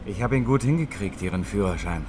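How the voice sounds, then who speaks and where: An actor playing a restless, bitter amateur thief in a radio crime drama, questioning and insistent, eager yet wary. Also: male voice, animated, fairly quick, over music, natural voice. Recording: clear car rumble noise.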